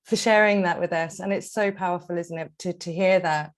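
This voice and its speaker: A woman with a fairly low voice hosts an online discussion over a video call. She invites participants in with an encouraging tone. She trips over repeated words and fillers as she goes. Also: fairly even intonation, a little fast. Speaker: webinar host.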